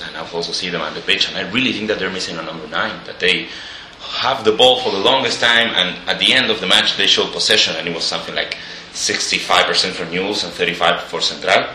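A man's voice: low voice, a little fast, few pauses, sharp tone, reverberant, a little hiss or hum, rough recording. A man co-hosting a casual football podcast from his living room, analysing matches in a hesitant way that stumbles at the start of his points. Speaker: podcast co-host.

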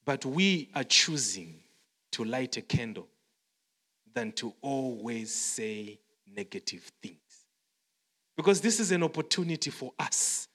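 A man's voice: medium pitch, lively intonation, measured pace, pushed and bright. A man from Zimbabwe preaching a sermon, repeating phrases, quoting songs and restarting his words often. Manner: repetitive, song-quoting, stammering restarts. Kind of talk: sermon with testimony. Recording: long hall reverb.

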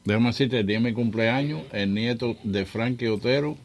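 A man's voice: mid-range voice, a little fast. An older man, hosting a radio talk show and telling stories with a joking, laughing manner. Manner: jokey storyteller, laughs often. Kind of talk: casual radio chat.

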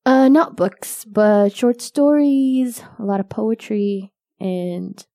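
A young woman whose first language is Arabic, chatting casually as a guest in an interview, self-deprecating and quick to laugh. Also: mid-range voice, dark tone, dry recording, clean studio sound. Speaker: novelist guest.

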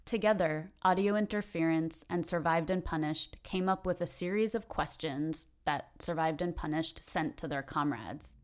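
A woman's voice: deep voice, normal speed, few pauses. A woman reading scripted narration as the host of a podcast. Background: music.

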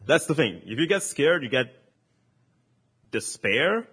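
Man, excitable gamer, swears casually, husky voice, online call. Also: mid-range voice, measured pace. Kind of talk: casual group chat about a video game.

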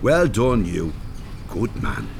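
A man's voice: deep voice, huge pitch swings, slow, vocal fry, noisy background, heavily acted. An actor playing a mild, agreeable older man with a Dublin accent in a radio drama, cheerful and inquisitive. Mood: mildly inquisitive. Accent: Dublin Irish.

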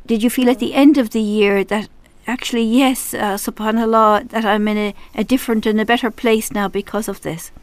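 A woman's voice: fairly high pitch, steady tone, a little fast, hardly any pauses, no room sound. A woman hosting a radio interview from a studio, friendly and encouraging, with frequent affirmations. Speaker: radio show host.

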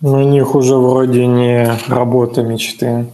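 A man co-hosting a podcast in a flat, monotone delivery with a mellow, darkish timbre. He talks in an offhand way and swears casually. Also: medium pitch, a little slow, rough recording. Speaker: podcast co-host. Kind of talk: casual chat.

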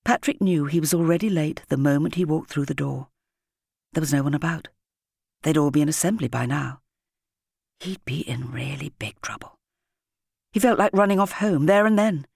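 A woman's voice: low-pitched, creaky, darkish tone, slightly rough, no room sound, clean studio sound. A woman with a British accent reading a scripted story expressively as an audiobook narrator.

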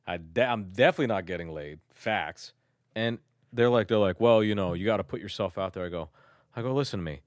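A man's voice: fairly low pitch, fairly quick, no room sound, studio quality. A man from Turkey talking in loose casual chat, rambling and self-mocking, with lots of swearing and restarts.

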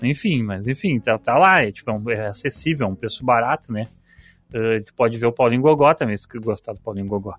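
A man's voice: medium pitch, expressive, fast talker, no room sound, soft background music. A man, co-hosting a podcast in loose, joking casual chat and swearing freely. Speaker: podcast co-host.

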